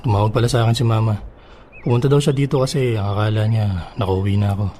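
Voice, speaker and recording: A man playing a devoted, reassuring boyfriend in a radio drama, coaxing gently with a Filipino accent. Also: on the low side, fairly even intonation, fast talker, a little hiss or hum, lightly acted. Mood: concerned.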